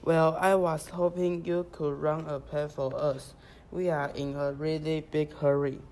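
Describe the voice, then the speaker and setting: A woman's voice: low-pitched, level tone, a little slow, warm tone, slight background noise. A woman playing an earnest, polite but impatient young rabbit police officer in an amateur radio play. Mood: polite, restrained.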